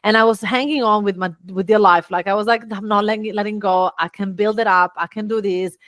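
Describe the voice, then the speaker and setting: An animated middle-aged woman with an Italian accent, swinging her pitch up high as she acts out what people said to her. Her voice sits mid-range, and the recording is close and dry with almost no room sound. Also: fairly quick, no room sound. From Italy. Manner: lively, acts out quoted dialogue with big pitch jumps. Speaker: guest, VA agency founder. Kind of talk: animated storytelling in conversation.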